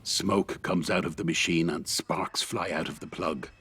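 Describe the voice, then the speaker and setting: A man's voice: deep voice, steady tone, unhurried, sharp tone, breathy edge. A man reading scripted, dramatic narration for a radio play.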